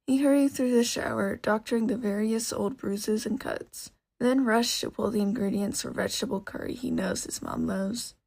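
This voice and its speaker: A woman reading a story aloud as expressive scripted narration. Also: mid-range voice, normal speed, creaky, hardly any pauses, poor audio. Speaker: narrator, podfic reader.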